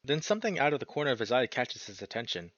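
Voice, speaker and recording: A man reading a visual novel aloud as expressive narration, breaking off into casual, sweary, slangy reactions. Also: mid-range voice, fast talker, no echo, highs cut off. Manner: animated, sweary, slangy interjections.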